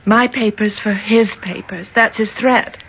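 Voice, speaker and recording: A woman's voice: mid-range voice, fairly even intonation, a little slow, a bit bright. An actress playing an anxious, ashamed young woman in a 1970s radio drama, hesitant and earnest. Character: anxious, ashamed young senator's daughter, pleading. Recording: old radio broadcast, faint noise.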